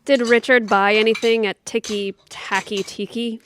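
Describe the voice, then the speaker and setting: A practical young daughter in an audio comedy, acted by a woman. Her voice sits a little high. She is caring and matter-of-fact. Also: little pitch movement, normal speed, a bit bright, a little hiss or hum, natural voice. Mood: light. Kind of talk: audio theatre comedy acting.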